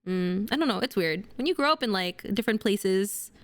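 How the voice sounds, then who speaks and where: A woman's voice: medium pitch, lively intonation, a little fast. A young woman from Indonesia, chatting playfully as she streams herself building a model kit. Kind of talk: casual live-stream chat while building a model kit.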